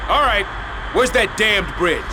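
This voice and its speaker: An actor playing a bold tank soldier in a war video game, shouting urgently as if in battle. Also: male voice, lively intonation, measured pace. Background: music and vehicle rumble.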